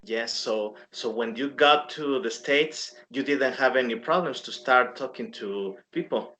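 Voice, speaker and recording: A man, an English teacher, interviewing his former student in a formal, halting way with frequent pauses. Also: medium pitch, bright, forceful tone. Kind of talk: hosting an interview.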